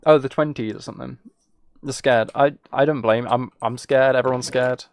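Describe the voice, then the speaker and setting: A man's voice: mid-range voice, steady tone, moderate pace, clear, resonant tone, dry recording. A twenty-year-old man chatting casually as he streams a game, rambling along in a joking, teasing way. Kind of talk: casual chat while playing a game.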